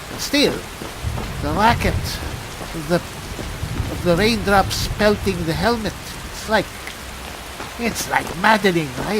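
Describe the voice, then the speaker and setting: A man doing in-character roleplay commentary on a game, musing haltingly as if talking to himself. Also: high-pitched, noisy background. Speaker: gameplay host, player in character. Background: rain and thunder from the game.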